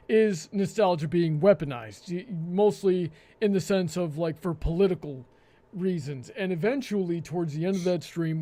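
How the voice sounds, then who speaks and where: A man hosting a live panel discussion, with a hesitant, halting delivery that often stammers and repeats words. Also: high voice, mellow. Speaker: host.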